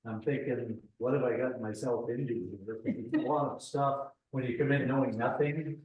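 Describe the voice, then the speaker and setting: A man of about sixty, the town treasurer, giving a halting, self-deprecating report with fillers and restarts. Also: medium pitch, fairly even intonation, phone-quality sound. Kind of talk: meeting report, casual. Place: town meeting room. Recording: very echoey room, voice far from mic.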